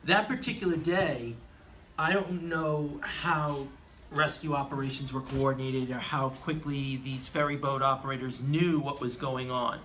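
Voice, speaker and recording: A man conducting an earnest live interview, asking wordy, deliberate questions. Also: on the high side, a bit bright. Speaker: interviewer, aviation enthusiast. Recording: faint background noise, live event.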